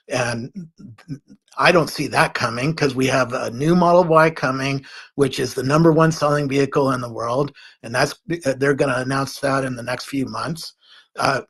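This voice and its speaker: A man's voice: medium pitch, husky. A man speaking as a panelist in casual market commentary, setting out his view analytically, point by point.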